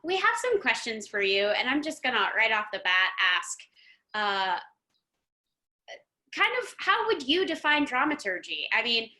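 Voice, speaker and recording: A woman hosting a live online interview in an effusive, excited, chatty manner over a video meeting. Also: a little high, measured pace. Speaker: host.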